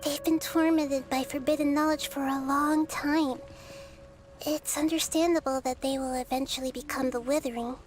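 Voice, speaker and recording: A woman voicing a gentle young goddess who explains things calmly and kindly, in video game dialogue. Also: high voice, moderate pace, faint music behind, lightly acted. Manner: calm, explaining, kind. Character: gentle young-looking goddess, calm scholar.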